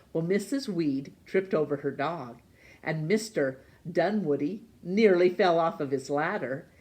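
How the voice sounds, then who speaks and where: A woman reading a children's storybook as narrator, expressive, with dramatic pauses and emphasis. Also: lowish voice. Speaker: storytime reader.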